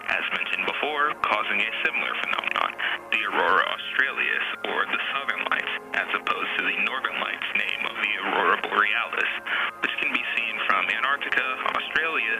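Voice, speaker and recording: A man playing the narrator of an educational science programme, explaining in a measured, scripted way. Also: on the low side, vocal fry, rough recording. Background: music.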